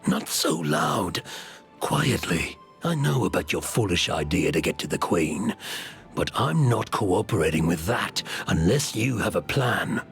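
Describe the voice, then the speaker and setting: An actor playing a gruff, scornful mine worker and wary conspirator in a video game, dry and dismissive. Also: male voice, deep voice, big pitch swings, a little slow, a bit bright, faint music behind, heavily acted. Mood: wary, guarded. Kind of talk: video game cutscene acting.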